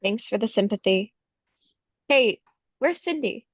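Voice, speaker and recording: A woman's voice: a little high, lively intonation, measured pace, breathy edge, no room sound. A woman playing a kind, weary pageant runner-up in her twenties at a script table read, slightly muffled sound. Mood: polite concern. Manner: weary, disappointed. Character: kind, disheartened twenty-five-year-old perennial pageant runner-up.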